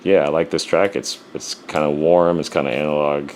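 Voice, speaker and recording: A man's voice: extremely low pitch, little pitch movement, normal speed, a little mellow, a little hiss or hum. A man who raps and produces, casually walking through his mixing steps with a profane, joking swagger. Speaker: rapper and music producer. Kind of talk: casual music production walkthrough.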